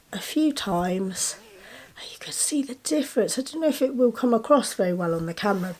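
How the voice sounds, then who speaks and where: Woman vlogger, a bit congested from a cold, chatty and enthusiastic, show-and-tell to camera. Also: mid-range voice. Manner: chatty, enthusiastic, with soft giggles. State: a little bunged up with a cold. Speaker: vlogger showing gifts.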